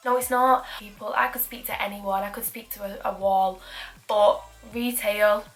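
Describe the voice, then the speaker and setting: A woman's voice: mid-range voice, steady tone, normal speed, faint music behind, rough recording. A young woman with a Manchester accent, chatting through a makeup tutorial with self-deprecating asides. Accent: Mancunian. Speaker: beauty vlogger.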